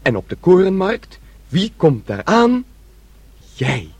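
A man acting in a radio drama as a naive, childlike son who dreams aloud in a rambling, excitable way. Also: high-pitched, takes it slowly, breathy edge, no room sound, full character voice. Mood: dreamy storytelling.

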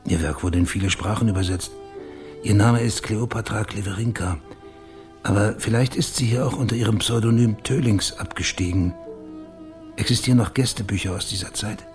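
Male investigator, low voice, courteous but probing, crime radio play acted by a man. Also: normal speed, music in the background, close to an everyday voice. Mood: polite, probing. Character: male investigator posing as biographer, polite, probing. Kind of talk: German radio crime drama acting.

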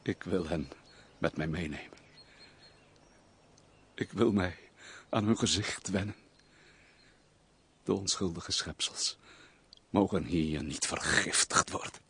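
A man's voice: deep voice, slow, long pauses, a bit bright, slight background noise, heavily acted. A man acting an embittered, brooding nobleman in a Dutch radio play, with formal, declamatory old-fashioned diction. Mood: sombre. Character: brooding, embittered nobleman, weary of society, curt master.

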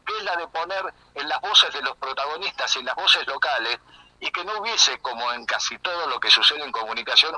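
Male radio interviewee, Argentine accent, very bright and tense tone, earnest enthusiasm, telephone-style sound. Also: medium pitch, rough recording. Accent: Argentine Spanish. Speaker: interview guest, community radio communicator and project coordinator. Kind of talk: conversational radio interview, explaining at length.

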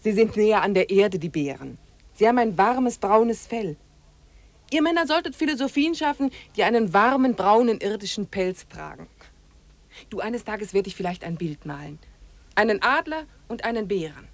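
A woman's voice: medium pitch, animated, moderate pace, dry recording, lightly acted. An actress playing a practical, resolute woman hiker in a radio drama, plain-spoken and earnest. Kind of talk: 1950s German radio drama acting.

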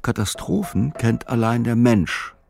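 A man narrating a literary radio play in a measured, sober, reflective way. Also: mid-range voice, expressive, creaky, darkish tone.

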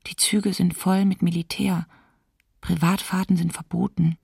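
A woman's voice: fairly low pitch, level tone, a little slow, vocal fry, darkish tone, husky, dry recording, studio quality, exaggerated voice. An actress playing a lonely, fearful woman diarist in wartime winter, sombre and restrained, in a documentary radio play. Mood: anxious, sombre. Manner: sombre, restrained, short plain sentences.

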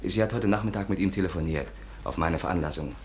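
An actor playing a cocky, persuasive, charming schemer with a brisk, coaxing manner in a 1950s radio drama. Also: male voice, deep voice, darkish tone, close to an everyday voice. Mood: brisk, confident. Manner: brisk, coaxing, self-assured. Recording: noisy old 1950s radio recording.

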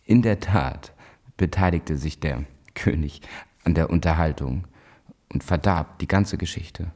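A man playing a proud, resolute emperor recalling negotiations in a husky, measured voice for a radio drama. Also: low voice, a little mellow. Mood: condescending, measured. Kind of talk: dramatized reading of historical memoirs.

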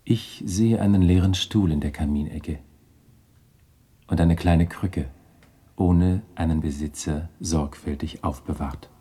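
An actor playing a grave, stern Christmas spirit in a radio play, making measured, solemn pronouncements. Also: male voice, deep voice, warm tone, slightly rough. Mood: solemn, foreboding. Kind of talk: 1960s German radio play acting.

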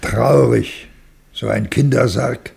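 An actor playing a lively, excitable man riding with mourners in a German radio play, in a creaky voice. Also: medium pitch, slow pace, full character voice. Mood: sorry. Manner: excitable, calling out, animated. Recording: faintly noisy old radio recording.

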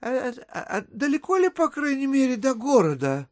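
An actor playing a courteous, ingratiating gentleman traveller in an audio drama, polite and hesitant. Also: very high-pitched, huge pitch swings, normal speed, exaggerated voice. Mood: inquiring. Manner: polite, hesitant, with many small interjections. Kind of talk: theatrical audio drama acting.

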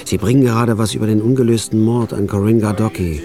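An actor playing a tired, cynical night clerk who narrates in a dry, wry way in a radio drama. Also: male voice, fairly low pitch, fairly even intonation, quick pace, crowd noise, close to an everyday voice. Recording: music bed under the voice.

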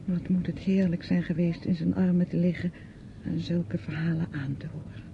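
Radio drama, muffled with faint rumble: reproachful younger mistress, high voice, theatrical, lingering delivery. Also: female voice, little pitch movement, normal speed, vocal fry. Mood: bitter, grieving. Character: bitter younger woman, grieving mistress taunting her aunt.

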